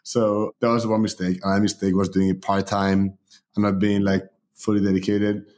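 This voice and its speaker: Spain-born man, relaxed interview talk. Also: fairly low pitch, moderate pace. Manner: relaxed, many fillers like "you know". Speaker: guest, company founder.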